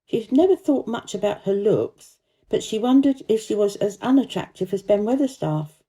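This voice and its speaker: A woman's voice: mid-range voice, animated, normal speed, mellow, poor audio. A woman reading a children's story as scripted narration.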